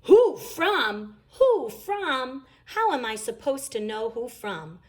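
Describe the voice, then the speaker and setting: A woman's voice: high voice, very animated, slow pace, pitched above the performer's own voice, heavily acted. A woman voicing a bossy, exasperated mother bear in a storybook read-aloud, scolding with heavy emphasis. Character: bossy, exasperated Mama Bear, as cubs mimic her in a play.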